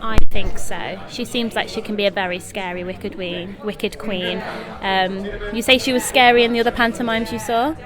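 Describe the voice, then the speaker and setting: A woman's voice: medium pitch, steady tone, normal speed, music in the background, rough recording. An enthusiastic, effusive young woman with a British accent, answering interview questions as a pantomime actress. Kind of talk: interview chat. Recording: chattering crowd behind the voice. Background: busy press event crowd.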